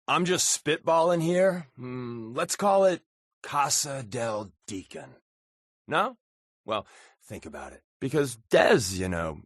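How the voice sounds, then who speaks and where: A wisecracking male undercover agent for a video game. His delivery is casual and sardonic, with a lively, mobile pitch that sits in a middle male register. The sound is dry and free of room echo. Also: husky, heavily acted. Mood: wry, playful. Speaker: voice actor playing a game companion. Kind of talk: video game character dialogue.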